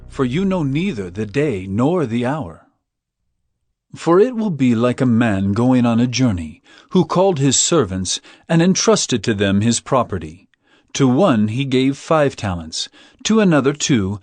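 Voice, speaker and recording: Animated male scripture narrator. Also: medium pitch, no room sound.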